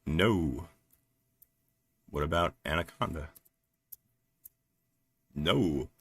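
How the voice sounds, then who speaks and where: A man from New Jersey with a deep voice, chatting casually and haltingly on a live stream while he works at his computer, with lots of fillers. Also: expressive, fairly bright tone, slightly rough, no echo. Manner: rambling, halting, many fillers, laughs often. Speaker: livestreaming developer.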